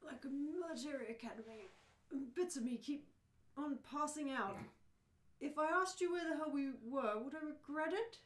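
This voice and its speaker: A woman's voice: high-pitched, moderate pace, a bit bright. A woman voicing a bewildered, exasperated and sarcastic man swept into space, in a radio play read-through. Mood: dazed, sarcastic. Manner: incredulous, dryly sarcastic. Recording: roomy, echoing room sound.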